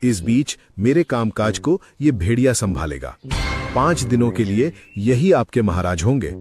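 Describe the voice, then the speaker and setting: A man voicing a trusting, authoritative lion king with an expressive, caring manner in an animated moral story. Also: mid-range voice, a little slow, faint music behind.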